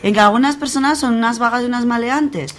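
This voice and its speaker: A woman's voice: a little high, quick pace, a bit bright, natural voice. A young woman acting a sensible, good-natured, patient friend in an amateur radio soap, conversational and mildly exasperated. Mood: earnest, exasperated. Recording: clear background noise.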